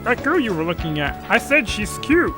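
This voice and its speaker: A man voicing a teasing, gossipy high school classmate in a game story. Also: very animated, normal speed. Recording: music behind the voice.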